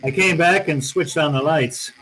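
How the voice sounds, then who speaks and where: A man's voice: on the high side, normal speed, a bit bright, faint music behind, natural voice, rough recording. A man playing a tough, wry private eye in a radio noir drama, terse and slangy, both narrating and in dialogue. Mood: hard-boiled narration. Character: wry, tough, hard-boiled male private detective.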